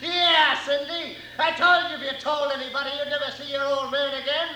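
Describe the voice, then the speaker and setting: Casting: menacing cattle-rustler heavy, very high-pitched, old radio western with faint noise. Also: male voice, level tone, a little fast, bright, forceful tone, reverberant, full character voice, low-quality recording. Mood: menacing, gloating. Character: menacing male rancher villain, gloating and threatening. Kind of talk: 1950s radio western acting.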